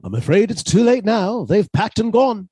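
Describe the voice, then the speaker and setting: A man playing a meek, middle-aged British botanist husband, submissive and quietly sly, in a radio suspense drama. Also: high-pitched, very animated, normal speed, husky, no echo, exaggerated voice. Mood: submissive, mild.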